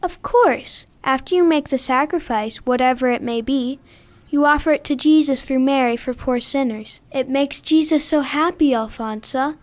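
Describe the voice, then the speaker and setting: A woman acting a devout young girl in an audio drama. The voice is high, gentle and earnest, with a pious, tender way of explaining things. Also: lightly acted.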